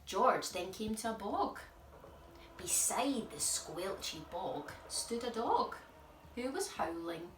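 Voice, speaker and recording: A woman narrating a children's storybook as a storytime presenter, expressive and speaking to young listeners. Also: medium pitch, slow, noisy background. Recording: echoey room, distant mic, music behind.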